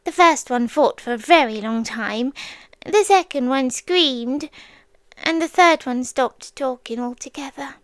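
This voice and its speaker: A British woman playing a possessive, childlike female sea demon in an ASMR roleplay, speaking in a soft, breathy, coaxing voice that often drops to a whisper. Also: sits high, measured pace, no room sound. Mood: calmly menacing. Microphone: close microphone, dry.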